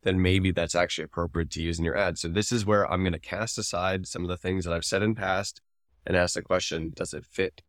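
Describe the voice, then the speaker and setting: A man's voice: low-pitched, steady tone, fairly quick, no echo, clean studio sound. A man co-hosting a podcast, explaining his opinions in casual discussion. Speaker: podcast co-host, advertising commentator.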